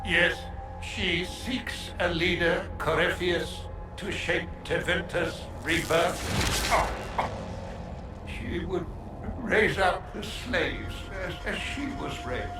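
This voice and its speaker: An actor playing a broken, captive scholar mage in a video game, grandiose and despairing, rambling in halting, theatrical phrases. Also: male voice, high voice. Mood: halting, feverish. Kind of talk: dramatic video game acting. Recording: roomy echo, music underneath.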